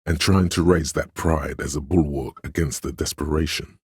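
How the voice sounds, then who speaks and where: An actor playing a stern, proud inner voice of authority in a video game, narrating in a grave, measured, commanding manner. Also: male voice, rumbling low, a little mellow, breathy edge, dry recording, clean studio sound, exaggerated voice. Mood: stern appraisal. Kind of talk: dramatic game narration in second person.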